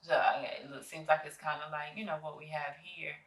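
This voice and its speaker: A woman's voice: sits low, steady tone, a little fast, echoey room, far from the mic. A woman hosting an interview, curious and prompting with frequent affirmations. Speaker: podcast host. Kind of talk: casual interview.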